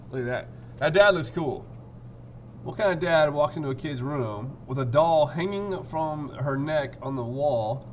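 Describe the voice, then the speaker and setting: A man's voice: mid-range voice, slight background noise. A man reading a picture book aloud, adding playful, informal asides as he goes. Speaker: read-aloud storyteller.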